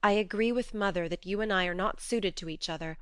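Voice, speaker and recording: A woman voicing an earnest, strong-willed young woman who reasons gently but firmly. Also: mid-range voice, a little fast, smooth, dry recording, lightly acted. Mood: reasoning.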